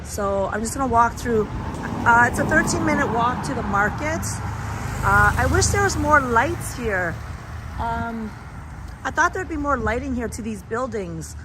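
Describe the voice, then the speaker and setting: A woman with a raspy, hoarse voice from a sore throat, chatting as she live-streams a walk outdoors at night, holding a phone. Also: a little high, animated, unhurried. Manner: chatty, rambling, greets viewers. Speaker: travel vlogger. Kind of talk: live-stream walking vlog. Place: outdoors on a town street at night. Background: street and traffic noise.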